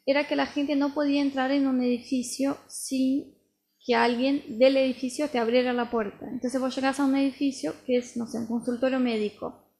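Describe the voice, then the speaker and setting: A woman in her thirties from Brazil, native Portuguese speaker, co-hosting a casual podcast and explaining her points through lively everyday stories. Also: sits high, normal speed. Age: 30s. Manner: lively storytelling, explaining with examples. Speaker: podcast co-host, missionary.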